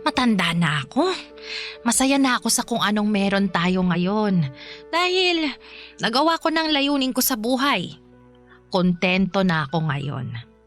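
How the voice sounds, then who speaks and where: A woman playing a gentle, pious elderly adoptive mother in a radio drama, speaking softly with small chuckles. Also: mid-range voice, very animated, a little slow, pauses often, soft background music. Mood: gentle warm. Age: older.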